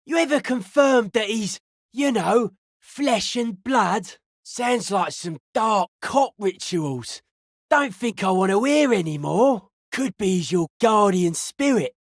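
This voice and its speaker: Slow-drawling young club kid. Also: male voice, high-pitched, animated, no echo, studio quality, full character voice. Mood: spooked, suspicious. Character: young counterculture club kid, spooked, earnest, dance-music obsessed. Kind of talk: video game voice acting.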